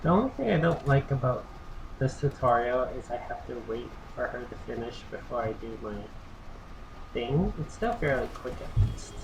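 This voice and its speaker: Tired raspy-voiced man streaming a game. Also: medium pitch, moderate pace. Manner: relaxed, thinking aloud with fillers. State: raspy, tired from little sleep. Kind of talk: casual gameplay commentary. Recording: clear background noise.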